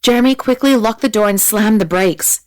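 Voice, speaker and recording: A woman reads as an audiobook narrator. Her voice has a middle pitch, and her delivery is measured and dramatic. The recording is very dry and close. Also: darkish tone, dry recording.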